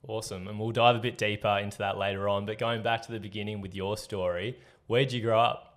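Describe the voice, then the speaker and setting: A man's voice: on the low side, fairly even intonation, quick pace. A man hosting a casual podcast interview, upbeat and encouraging. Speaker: podcast host.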